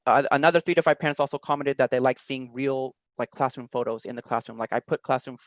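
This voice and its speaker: A man's voice: medium pitch, fast talker, no echo. A man chatting casually as an interview guest, explaining his work as an online teacher in loose, half-finished thoughts.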